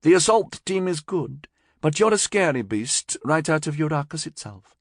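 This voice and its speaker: A man's voice: on the high side, sing-song, moderate pace, no echo, clean studio sound, pitched above the performer's own voice. A man raising his voice into a blunt woman director. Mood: firm. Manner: clipped, firm, matter-of-fact. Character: cool, blunt female security director.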